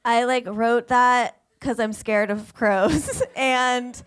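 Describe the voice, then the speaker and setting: A woman's voice: on the high side, steady tone, takes it slowly, clean tone. A young woman from Vermont performing a stand-up comedy set on a theater stage, self-mocking and acting out the people she quotes. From Vermont, USA.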